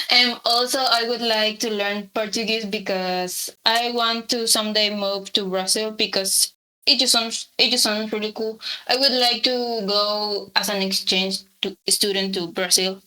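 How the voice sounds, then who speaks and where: A young woman with a Spanish accent, a native Spanish speaker from Latin America, chatting hesitantly as a guest artist in an interview, with many pauses and fillers. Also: medium pitch, level tone, bright, forceful tone, low-quality recording. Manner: hesitant, many ums, laughs lightly. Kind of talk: casual interview chat.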